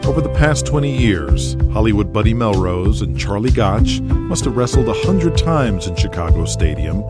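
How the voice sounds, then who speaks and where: A man's voice: lowish voice, big pitch swings, normal speed, over loud music. A man reading scripted narration for an audio drama in a dramatic storytelling style.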